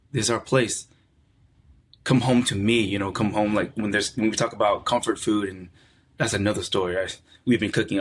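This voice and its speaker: A middle-aged man from California, a podcast guest talking in a relaxed, reflective way and often repeating words as he searches for them. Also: on the low side, a little hiss or hum. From California, USA. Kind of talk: casual conversational interview.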